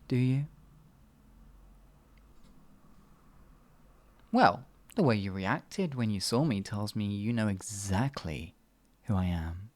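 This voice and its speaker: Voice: mid-range voice, darkish tone, no echo. A performer voicing an evil, sadistic sorcerer in an ASMR fantasy roleplay, theatrical and condescending, stretching words and slipping into menacing whispers. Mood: mocking menace. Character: evil, sadistic, all-powerful sorcerer, gloating and cruel.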